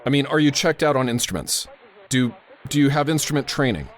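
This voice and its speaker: A male actor plays an airport tower controller in a radio drama. He is a brisk, steady man working under pressure, with a medium-pitched voice and very varied intonation. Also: slight background noise, natural voice. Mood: concerned.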